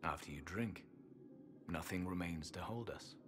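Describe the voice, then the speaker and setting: An actor playing a solemn ancient elven temple guardian in a fantasy game, with grave, formal, archaic speech. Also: male voice, low voice, a little slow. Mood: grave, resigned. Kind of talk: video game fantasy voice acting. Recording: music behind the voice.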